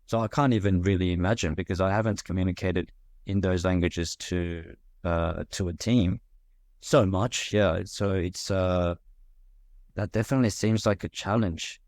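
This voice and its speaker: A man hosting a podcast, chatting thoughtfully with many fillers and pauses. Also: deep voice, steady tone, a little mellow, dry recording. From Korea. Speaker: podcast host. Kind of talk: casual conversation. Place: Australia.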